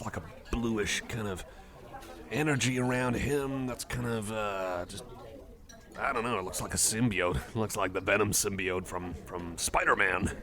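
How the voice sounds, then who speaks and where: A man chatting casually to the listener in an ASMR roleplay, rambling with a hesitant, geeky enthusiasm. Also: fairly low pitch. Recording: cafe chatter behind the voice. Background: cafe crowd ambience.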